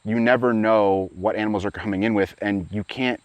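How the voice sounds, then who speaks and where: A man hosts a conversation with a slightly low voice and a dry, close sound. He asks long, winding questions and fills them with "like" and "you know". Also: steady tone, fairly quick, no echo. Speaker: podcast host. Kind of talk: casual interview.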